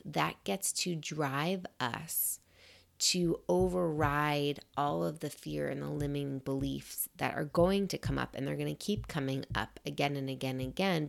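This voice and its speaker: A woman podcast host with a scratchy, slightly raspy and nasal voice, talking in a gentle, encouraging and reflective way, often checking in with "right?". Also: sits low. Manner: gentle, encouraging, thinks aloud with frequent "right?". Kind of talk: reflective motivational talk.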